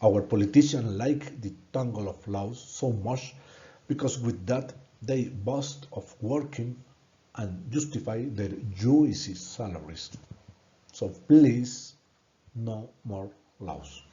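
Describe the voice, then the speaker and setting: Man, Colombian Spanish accent, low mellow voice, slow halting delivery, dull lo-fi recording. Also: lively intonation. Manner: earnest, halting, repeats words when stuck. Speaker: student presenting a course project. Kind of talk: prepared presentation narration.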